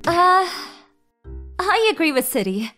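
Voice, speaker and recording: A woman's voice: very high-pitched, sing-song, slow pace, pushed and bright, soft background music, exaggerated voice. A woman playing a cute, blunt teenage girl with antlers in an anime dub. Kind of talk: anime manga dub acting.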